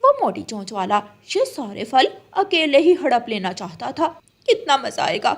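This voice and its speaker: A woman voices a sly cartoon crow wife with a high voice. She is mocking and conspiratorial, and delivers her lines with animation for a children's story. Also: very animated, moderate pace. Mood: gloating. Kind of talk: Hindi children's cartoon dialogue.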